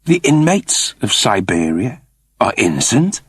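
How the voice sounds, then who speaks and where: A man's voice: on the low side, big pitch swings, measured pace, husky, no room sound, clean studio sound, far from the mic, pitched below the performer's own voice, exaggerated voice. A man voicing a scruffy, sceptical space traveller, blunt and casual, in a science fiction audiobook. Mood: wary, questioning.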